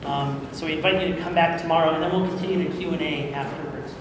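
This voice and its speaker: A man's voice: a little high, steady tone, fast. A man speaking informally as he explains things on a convention panel as an audio drama producer. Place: large indoor convention room. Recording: very echoey hall, distant mic, noisy.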